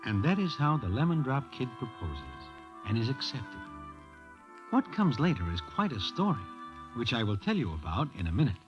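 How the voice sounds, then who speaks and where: A man playing a wry, animated radio storyteller over music. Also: medium pitch, close to an everyday voice. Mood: wry, knowing. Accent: American. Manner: wry, measured, colloquially formal. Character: wry, slangy Broadway storyteller, adult man. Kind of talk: old-time radio story narration in present tense. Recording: loud music under the voice.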